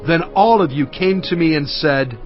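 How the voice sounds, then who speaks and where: A man's voice: a little high, moderate pace, lightly acted. An actor playing Moses as a fatherly, earnest leader addressing his people with oratorical weight in dramatized scripture narration. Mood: exhorting. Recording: dull sound with music underneath. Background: music.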